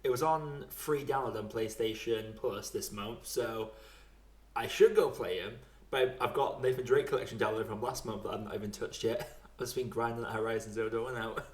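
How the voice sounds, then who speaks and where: A man's voice: medium pitch, normal speed. A man chatting casually about video games in a colloquial, self-deprecating way. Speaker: podcast speaker. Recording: roomy, echoey sound.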